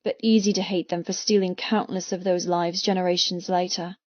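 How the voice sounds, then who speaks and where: A woman narrating a first-person audiobook with expressive, intense, dramatic delivery. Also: on the low side, fairly quick, dry recording. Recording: slightly muffled, dry close studio sound.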